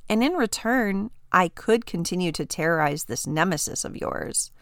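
A woman's voice: lowish voice, animated, moderate pace, a bit bright, no room sound, clean studio sound. A woman voicing an ancient, weary female dragon with wry, haughty, archaic phrasing in a storybook reading. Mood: scheming, rueful.

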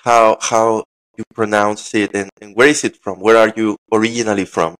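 Mid-pitched man hosting, slightly halting. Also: little pitch movement, a bit bright, smooth, rough recording. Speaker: interview host. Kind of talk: casual conversational interview.

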